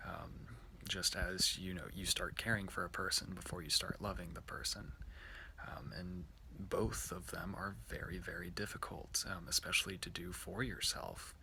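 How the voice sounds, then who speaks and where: A man giving an informal personal reflection for worship, halting and hesitant as he searches for words. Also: low voice, level tone, creaky, sharp tone. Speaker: worship speaker. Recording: faint background noise.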